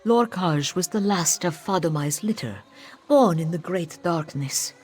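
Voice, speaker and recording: Cat-folk exorcist priestess female performer, fantasy game: deep for a woman, solemn, ceremonious, music underneath. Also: expressive, unhurried, a little mellow, slightly rough. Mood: solemn, explaining. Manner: formal, speaks of self in third person. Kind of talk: scripted fantasy game dialogue.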